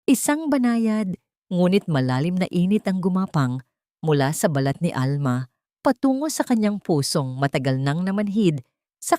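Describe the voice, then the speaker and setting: Low-voiced Filipino woman narrating drama. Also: darkish tone, no room sound, studio quality. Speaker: storyteller. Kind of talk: scripted dramatic story narration.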